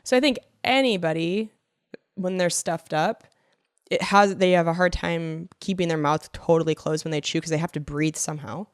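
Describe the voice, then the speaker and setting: A woman's voice: on the low side, clean tone, dry recording, studio quality. A woman co-hosting a podcast while sick, nasal and stuffed up, laughing and teasing in casual chat. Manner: giggly, teasing, quick reactions. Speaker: podcast co-host.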